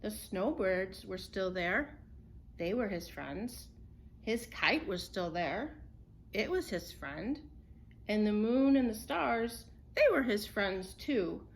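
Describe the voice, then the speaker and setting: A woman storyteller reading a children's picture book aloud in an expressive, unhurried way. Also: mid-range voice, a little hiss or hum.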